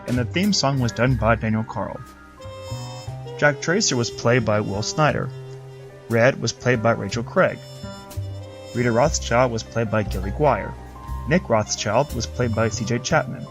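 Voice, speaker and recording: Mid-pitched man reading credits over music. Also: lively intonation, moderate pace. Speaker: credits announcer.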